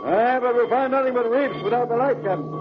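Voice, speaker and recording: A man's voice: extremely high pitch, fairly quick, over music. A man playing a hearty, dutiful ship's pilot in an old-time radio drama, talking like a seasoned sailor. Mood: hearty, watchful. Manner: hearty sailor talk with "aye, sir". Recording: muffled, noisy old radio recording.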